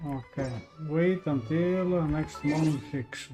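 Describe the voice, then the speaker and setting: A man's voice: fairly high pitch, slow, dark tone, soft background music. A man giving casual live-stream commentary on games, hesitant and searching for words in non-native English. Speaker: game streamer. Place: indoors, live stream over game music.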